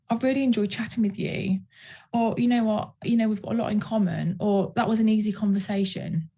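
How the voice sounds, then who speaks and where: Calm, mellow woman, slightly husky. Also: mid-range voice. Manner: calm, measured, reassuring. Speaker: guest trainer for direct-sales team. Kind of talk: training presentation over video call.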